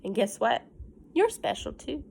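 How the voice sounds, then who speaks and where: A woman with a fairly high voice reads a picture book to young pupils at a very slow pace. She stretches and stresses key phrases like a teacher. She talks to the children directly in simple, encouraging words. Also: big pitch swings, creaky, a little mellow, husky. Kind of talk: animated picture-book read-aloud with warm asides to children.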